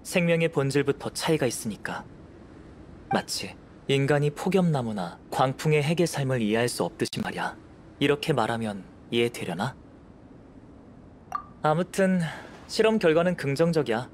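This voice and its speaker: An actor playing a calm, scholarly young alchemist in an anime game, composed, measured and gently detached. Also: male voice, mid-range voice, a little hiss or hum, close to an everyday voice. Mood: reflective, calm.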